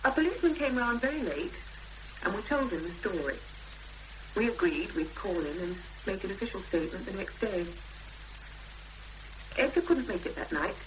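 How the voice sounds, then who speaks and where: A woman playing an anxious but determined young woman in a 1970s radio drama, speaking hesitantly with thoughtful pauses. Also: medium pitch, expressive, close to an everyday voice. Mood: troubled, recounting. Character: anxious, determined young woman seeking her missing sister. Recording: noisy, hissy 1970s radio broadcast recording.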